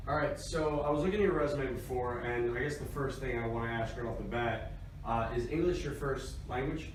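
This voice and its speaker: A man's voice: mid-range voice, a little fast, slight background noise. A young man acting as interviewer in a casual role-play, hesitant and full of fillers. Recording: echoey room, far from mic.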